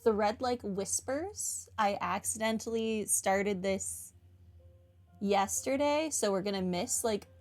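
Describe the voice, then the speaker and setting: A woman's voice: mid-range voice, slow, darkish tone. A woman streamer giving casual, chatty playthrough commentary with dry, self-deprecating humor. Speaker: game streamer. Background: game music.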